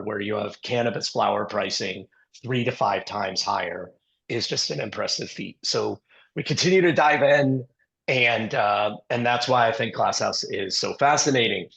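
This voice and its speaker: A man hosting an interview with a hoarse, scratchy voice, rambling and filling pauses with ums and uhs. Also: on the low side, a bit bright. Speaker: podcast host and investor. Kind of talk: casual interview talk.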